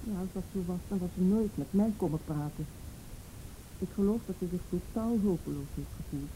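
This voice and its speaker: An actress playing a firm, probing policewoman in a Dutch radio play, speaking in a measured, insistent and blunt way. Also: fairly low pitch, mellow, slight background noise, natural voice, low-quality recording. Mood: firm, confronting. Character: firm, probing policewoman confronting a mother over child abuse.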